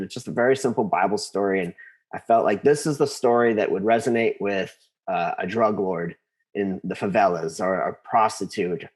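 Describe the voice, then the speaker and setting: A man being interviewed, telling his story conversationally and haltingly, repeating words as he thinks. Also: fairly low pitch. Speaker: interview guest, composer.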